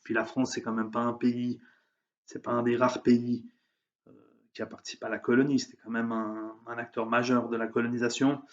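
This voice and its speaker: A man's voice: medium pitch, flat delivery, a little fast, dull audio. A man giving an emphatic, heated opinion monologue, hesitating often with fillers. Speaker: vlogger. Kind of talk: opinion monologue on current events.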